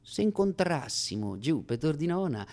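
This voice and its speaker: An actor playing a boastful Roman street bully in a comic theatrical monologue, with a thick Roman accent and a swaggering, declamatory slangy delivery. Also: male voice, on the high side, big pitch swings, normal speed, darkish tone. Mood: boastful storytelling. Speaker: stage actor performing a one-man radio play.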